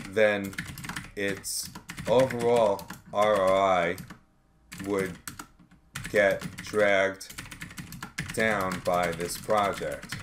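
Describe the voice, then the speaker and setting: A man working step by step through an accounting tutorial as an instructor, in a measured way, reasoning aloud as he calculates. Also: on the low side. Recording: background noise with keyboard typing clicks.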